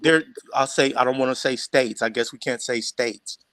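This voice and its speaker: A man's voice: mid-range voice, moderate pace, fairly bright tone, no room sound. A man in a casual, heated panel discussion, speaking colloquially and insistently as he argues his point.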